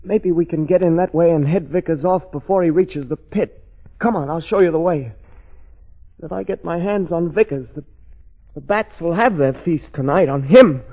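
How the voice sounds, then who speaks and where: A man playing an earnest young fiancé with a husky voice and urgent delivery, in 1930s radio drama. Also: fairly high pitch, normal speed. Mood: urgent, determined. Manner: urgent, agitated. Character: earnest, bewildered young fiancé turned rescuer. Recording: thin, phone-like old radio recording.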